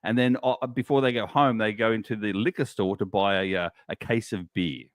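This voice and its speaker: A man's voice: fairly low pitch, fairly even intonation, fairly quick, no echo, clean studio sound. A man with an Australian accent, co-hosting a podcast and chatting, laughing and explaining things. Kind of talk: casual podcast banter.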